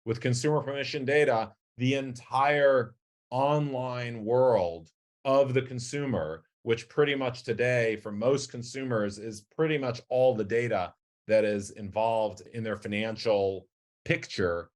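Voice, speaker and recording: A middle-aged man giving measured, articulate explanatory answers as an executive guest in a business interview. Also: medium pitch. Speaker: company chief executive, podcast guest.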